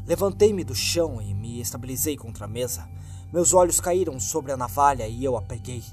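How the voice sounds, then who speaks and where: Brazilian man narrating horror over music. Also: on the high side. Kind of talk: dramatic scripted creepypasta narration. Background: background music.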